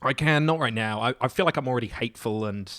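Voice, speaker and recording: A man's voice: mid-range voice, fast, a bit bright, no echo. Sarcastic male podcast guest, dry close sound. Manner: sarcastic, teasing, mock-grandiose. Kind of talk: comic casual chat.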